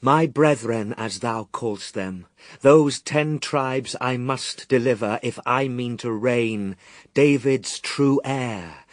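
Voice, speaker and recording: A man acting a steadfast in a radio verse drama. He speaks very slowly at a middling pitch, stern and calm in rebuke, with a dry, close sound. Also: dry recording, lightly acted. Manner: deliberate, stern, dismissive of temptation. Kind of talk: BBC radio drama, solemn verse recitation.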